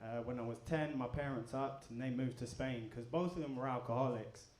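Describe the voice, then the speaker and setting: A man doing a stand-up comedy set, deadpan and crude, bantering with the crowd. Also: medium pitch, a little fast, a little hiss or hum. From England. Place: comedy club in front of a live audience. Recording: echoey club room. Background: audience laughter.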